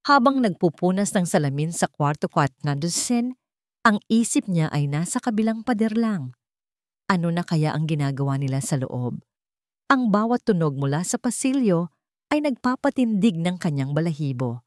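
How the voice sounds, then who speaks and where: A woman narrates a dramatic love story from a script. Her voice sits on the low side for a woman. The recording is dry, with little room sound. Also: expressive, moderate pace, darkish tone, no room sound.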